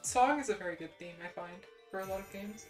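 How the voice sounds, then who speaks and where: A young adult woman with a plain Midwestern American accent chats casually into a desk mic. She rambles, piles on "like," restarts sentences and pokes fun at herself, her voice sitting at an everyday middle pitch. Faint music plays quietly underneath. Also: fast. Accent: General American (Midwestern). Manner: rambling, many "like"s and restarts, self-deprecating. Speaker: VTuber streamer. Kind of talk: casual stream chat.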